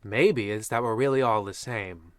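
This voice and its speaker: A man's voice: lowish voice, normal speed, no echo, clean studio sound, natural voice. A man playing a smug, mock-solemn host of an old-time radio anthology, delivering a monologue to listeners.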